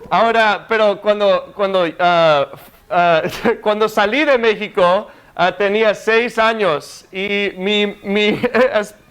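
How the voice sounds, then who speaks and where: Fields: Man. Native tongue: English. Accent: American. Style: halting sermon. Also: high-pitched, a bit bright, smooth. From United States. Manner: hesitant, many fillers and restarts. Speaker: guest preacher. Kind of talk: sermon in second language.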